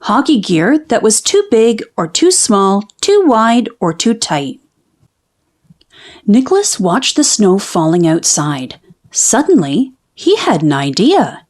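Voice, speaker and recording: A woman reading a children's picture book aloud in an expressive, narrated storytelling style. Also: mid-range voice, slow pace.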